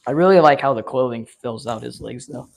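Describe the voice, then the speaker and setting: Guy rambling on a live stream, voice kinda going hoarse, lots of ums, mid pitch. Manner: rambling, filler-heavy, enthusiastic. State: losing his voice. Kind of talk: casual live unboxing chat.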